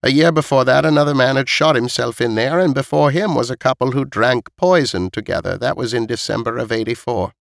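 A stern old woman from an audiobook, acted out by a male narrator, speaks in a raised pitch. She is blunt and knowing, and the sound is dry and close. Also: fairly quick, gravelly, hardly any pauses, no room sound, natural voice. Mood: grave, matter-of-fact.

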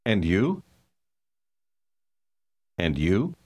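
Male drill voice, extremely slow, pitch swinging widely. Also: very animated, a little mellow, husky. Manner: deliberate, syllable-by-syllable. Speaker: course dialogue speaker. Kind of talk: drilled word repetitions.

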